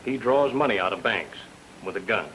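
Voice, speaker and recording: A man's voice: fairly low pitch, expressive, normal speed, a bit bright. A man acting a suspicious, curt FBI agent in a film noir radio drama. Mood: gruff, skeptical. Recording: noisy old-time radio recording.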